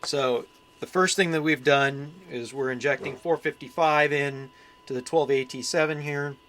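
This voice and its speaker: A man giving a casual, unscripted repair walkthrough, plainspoken and thinking aloud with pauses as he works. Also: on the high side, fairly even intonation, fairly bright tone. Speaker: hobbyist radio repairer presenting his channel.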